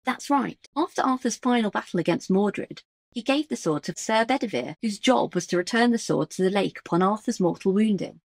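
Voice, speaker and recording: A woman's voice: mid-range voice, expressive, a little fast, a little mellow, no room sound. An actress playing a frank, well-informed woman who explains things matter-of-factly in an audio drama. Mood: informative, frank.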